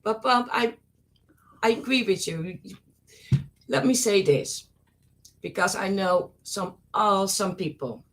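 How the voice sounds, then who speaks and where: A woman's voice: medium pitch, takes it slowly, pauses often, a bit bright. A woman with a Dutch accent chatting on a live stream in a mid-range voice. She teases, giggles and speaks her mind plainly. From Netherlands. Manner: teasing, giggly, outspoken. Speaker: co-host, behind-the-scenes organiser. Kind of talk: casual live-stream chat.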